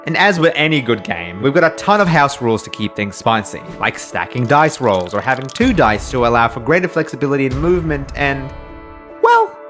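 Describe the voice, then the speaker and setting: A young man from Australia, raised speaking Urdu, tells funny stories in scripted narration, and his pitch leaps and dips all through it. Light music plays softly under his voice-over. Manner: animated and playful, jumping into high excited asides. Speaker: animated storytelling channel host. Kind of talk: scripted comedic storytelling narration. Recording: voice-over with faint background music.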